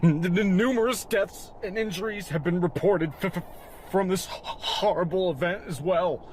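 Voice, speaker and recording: Over clear background noise comes a man playing a frightened news anchor, high-voiced and freezing. The bulletin is broken up by a heavy shivering stutter. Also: moderate pace, natural voice. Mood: shivering, grim. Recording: noisy news-scene audio.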